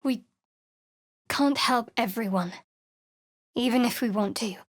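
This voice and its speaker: A woman voicing a guarded young female cleric of a dark goddess in a video game, cool, reserved and wry, whispering her prayers close to the mic. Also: on the high side, takes it slowly, no room sound. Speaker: game companion character. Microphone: close studio mic.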